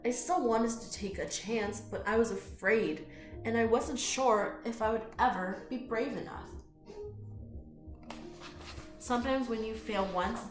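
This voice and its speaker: A woman reading a picture book aloud to children in an expressive, inviting way, with friendly chatty asides. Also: mid-range voice. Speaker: children's storytime reader. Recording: roomy echo.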